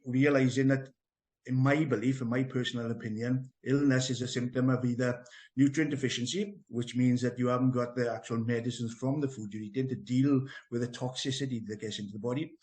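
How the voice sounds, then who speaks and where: A man's voice: medium pitch, a little mellow. South Welsh man telling his story. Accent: South Wales. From Merthyr Tydfil, Wales. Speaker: interview guest, health business owner and trainer. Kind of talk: conversational personal account.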